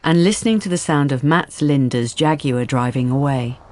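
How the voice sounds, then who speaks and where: A woman's voice: a bass voice, moderate pace, darkish tone. A woman giving scripted narration as the narrator of a radio drama. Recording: music behind the voice.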